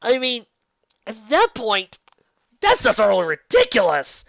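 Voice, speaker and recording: A woman's voice: a little high, huge pitch swings, unhurried, gravelly, dry recording, muffled recording. A woman giving casual, unscripted fan fiction commentary, incredulous and exasperated, often stopping to rephrase and asking rhetorical questions.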